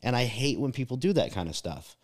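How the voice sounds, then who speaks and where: A man with a mid-range voice, neither high nor low, talks through his views in a casual podcast chat. He is reflective and emphatic, often using "like" and tagging his points with "right?". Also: sing-song, fairly quick.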